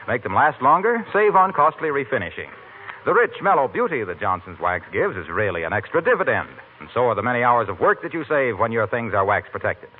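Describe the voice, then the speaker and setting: A male radio announcer with a lively, swinging medium-pitched delivery. He reads a scripted 1940s commercial in a polished sales manner, on a tinny recording. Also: slight background noise.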